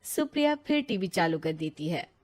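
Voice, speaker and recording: A woman's voice: lowish voice, sing-song, normal speed, a little mellow. A woman reading a scripted children's story as its narrator.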